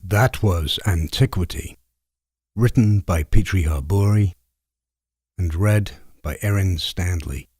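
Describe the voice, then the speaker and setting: A man's voice: low-pitched, takes it slowly, darkish tone, slightly rough, no echo, studio quality. A man hosting a fiction podcast, giving a scripted announcement. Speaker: podcast host.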